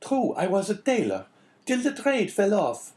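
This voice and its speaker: A man's voice: high voice, wildly swooping pitch, a little slow, a little mellow, pitched above the performer's own voice, heavily acted. A man voicing an obsequious, talkative French innkeeper who is eager to please, in a storybook reading. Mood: obliging. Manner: fawning, effusive, talkative.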